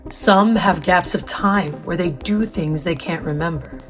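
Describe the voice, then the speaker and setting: A woman playing an authoritative scientist in an audio drama, explaining things in a formal, measured way. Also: lowish voice, faint music behind, natural voice. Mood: stern, explanatory. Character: authoritative, formal female scientist briefing a newcomer. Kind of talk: scripted audio drama dialogue.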